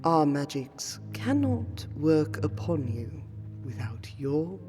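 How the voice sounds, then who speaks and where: A woman acts out an aristocratic vampire scholar for a fantasy roleplay audio. Her delivery is slow, courteous and solemn, in a dark, mellow timbre. Long hall reverb surrounds the voice, with music playing behind it. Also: sits low, very animated, full character voice. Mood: solemn, instructive. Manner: formal, deliberate, measured phrasing with weighty pauses.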